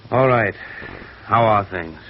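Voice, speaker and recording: A man's voice: fairly low pitch, slow pace. A man playing a bitter, defeated embezzler, terse and resentful, in a 1950s radio drama. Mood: angry, resentful. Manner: terse, sullen. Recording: dull, noisy vintage radio recording.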